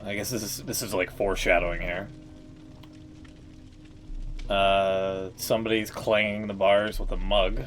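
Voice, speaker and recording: A man's voice: on the low side, little pitch movement, a little slow, long pauses, soft background music. A man streaming a game, making chatty, joking asides about the story. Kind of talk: casual live-stream commentary.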